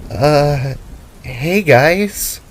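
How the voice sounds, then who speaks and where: A man voicing a worried, tender-hearted young female adventurer in an audio drama, speaking in a halting, emotional way. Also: on the high side, animated, voice pushed up, exaggerated voice. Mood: nervous. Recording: music and rain under the voice.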